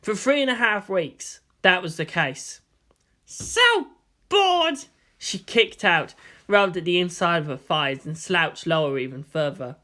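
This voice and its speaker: A narrator giving an expressive reading of fan fiction, like an audiobook. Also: mid-range voice, moderate pace.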